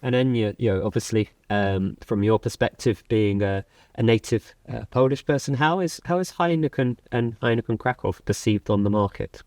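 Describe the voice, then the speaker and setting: A man's voice: medium pitch, moderate pace, hardly any pauses, a little mellow, no room sound, clean studio sound. A man hosting a company podcast, asking long, winding questions in a friendly way. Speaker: podcast host. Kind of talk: interviewing panel guests.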